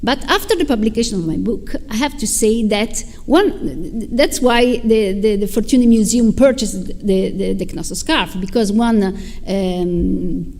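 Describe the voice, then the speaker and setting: Woman lecturer, halting, repeats words, long hall echo. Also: medium pitch, darkish tone. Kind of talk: answering questions after a lecture.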